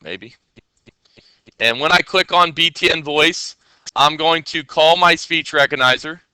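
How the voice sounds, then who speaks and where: A man's voice: fairly high pitch, a little slow, sharp tone, clean tone, dry recording, rough recording. A man teaching coding in a casual screen-share tutorial. He talks in a loose, chatty way with many fillers, and keeps checking in with his listeners.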